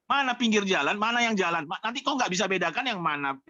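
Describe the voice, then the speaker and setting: Pushy high-voiced man on a call. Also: animated, fast talker, bright, forceful tone, no echo, muffled recording. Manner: pushy and demanding. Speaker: debate participant. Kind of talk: casual online debate. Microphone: video call.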